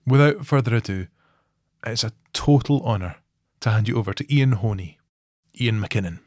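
A man's voice: mid-range voice, no room sound. Male podcast host, friendly, conversational intro, dry close sound.

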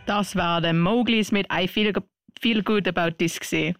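A woman's voice: lowish voice, moderate pace, music in the background. A woman with a Swiss German accent presenting a radio show as host.

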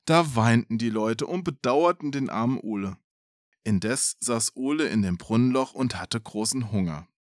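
A man's voice: medium pitch, animated, moderate pace, no room sound, clean studio sound. A man reading a folk tale aloud expressively as a podcast storyteller, with casual asides.

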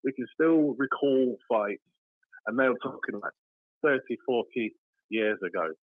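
A man's voice: medium pitch, unhurried, no room sound. A man calling into a show, casual and agreeable, repeating words as he talks. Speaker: caller. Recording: phone line.

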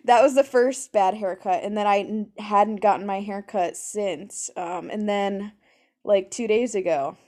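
Loud, swearing young woman podcaster. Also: mid-range voice, unhurried. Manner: loud, swearing, laughing often. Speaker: podcast co-host. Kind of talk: casual chat. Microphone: improvised mic held between her legs.

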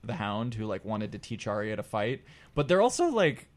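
A man's voice: fairly low pitch, sing-song, moderate pace. A man co-hosting a podcast, chatting casually and enthusiastically as a fan in a group discussion about TV. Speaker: podcast co-host.

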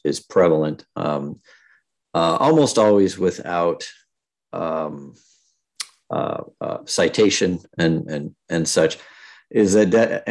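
A man co-presenting an economics talk, speaking hesitantly with many fillers. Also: low voice. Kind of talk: academic presentation.

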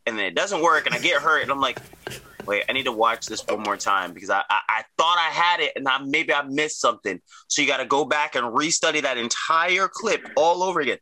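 A man's voice: medium pitch, lively intonation, sharp tone, poor audio. A man chatting casually as host, agreeable and rambling a little. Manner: agreeable, quick affirmations, rambling. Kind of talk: casual chat.

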